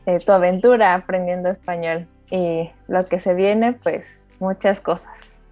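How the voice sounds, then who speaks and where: A woman hosts a casual interview. She asks open questions and sums up the answers in an encouraging way. Her voice sounds close and dry, with soft music faintly behind. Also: fairly low pitch, steady tone, a little slow, no room sound. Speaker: host. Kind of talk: friendly interview, casual chat.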